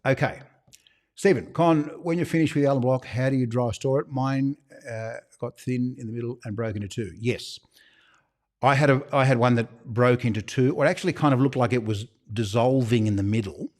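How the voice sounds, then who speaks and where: An Australian man hosting a loose livestream Q&A for his shop. His voice sits in an ordinary middle pitch, and he wanders from point to point with conspiratorial little jokes. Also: fairly quick, darkish tone. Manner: chatty, rambling, with conspiratorial joking asides. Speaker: shop owner hosting a live Q&A. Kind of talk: casual livestream Q&A.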